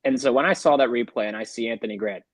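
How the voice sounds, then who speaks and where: Male podcast co-host for casual sports breakdowns, medium-pitched, colloquial, recorded dry without room echo. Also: quick pace.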